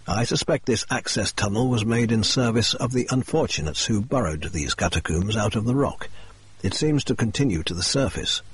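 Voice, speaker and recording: A man voicing a calm, dry, precise male detective, measured and composed in a slightly lowered husky voice.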